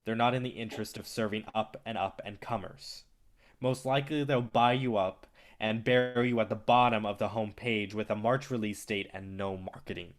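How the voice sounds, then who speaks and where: A man's voice: fairly low pitch, fairly even intonation, normal speed, lightly acted. A man playing an arrogant, impatient and foul-mouthed film distributor in a staged play reading, blunt and cocky. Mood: blunt, persuasive.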